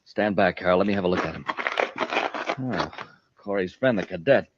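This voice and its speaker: A man's voice: deep voice, expressive, moderate pace, very noisy. A man playing a ruthless henchman in an old-time radio space adventure, barking orders and threats. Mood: sneering. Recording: muffled vintage radio recording.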